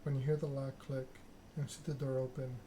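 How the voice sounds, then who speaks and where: A man reads narrative game text aloud as ASMR. His delivery is flat and deliberate, broken into short phrases. Clear background noise and clicking sit behind the voice. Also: medium pitch. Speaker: video game streamer reading a story game aloud.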